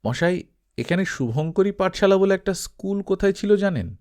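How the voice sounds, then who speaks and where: A man's voice: sits high, lively intonation, moderate pace, a little mellow, voice pushed up. A man playing a nostalgic, slightly awkward middle-aged man in a storybook reading, polite and hesitant. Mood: nostalgic, inquiring.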